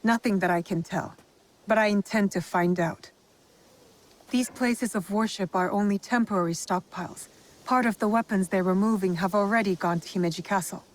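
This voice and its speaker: A woman's voice: mid-range voice, normal speed, natural voice, poor audio. Woman as a cool, resolute shinobi. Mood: determined. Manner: cool, pointed, formal. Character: determined young female shinobi, cool and resolute. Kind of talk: video game cutscene acting.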